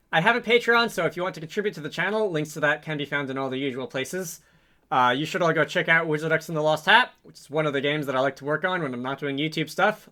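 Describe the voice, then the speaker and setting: Bright-voiced man thinking aloud, casual tutorial. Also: on the high side. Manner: informal, musing to himself. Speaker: host of a game development tutorial series.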